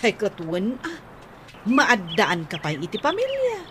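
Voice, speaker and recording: A woman's voice: medium pitch, huge pitch swings, unhurried, noisy background. A woman playing a practical, nagging older sister who gives advice, in a radio drama with an Ilocano accent.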